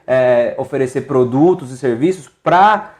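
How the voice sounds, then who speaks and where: A man, speaking casually and haltingly as an interview guest. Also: medium pitch, darkish tone. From São Paulo, Brazil. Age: 30s. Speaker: interview guest, small business owner.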